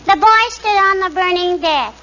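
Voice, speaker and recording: A woman's voice: extremely high pitch, normal speed, bright, forceful tone. A woman playing a cheeky, inquisitive little girl in a 1940s radio comedy, with a childish, repetitive questioning manner. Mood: teasing, proud. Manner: childish, repeats questions and tags. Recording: muffled noisy 1940s radio recording, studio audience.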